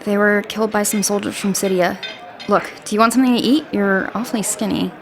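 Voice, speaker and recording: A woman's voice: mid-range voice, steady tone, a little fast, music in the background, natural voice. Actress as frank, guarded young village woman. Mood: frank, caring. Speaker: actor in an audio drama. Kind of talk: naturalistic audio drama dialogue.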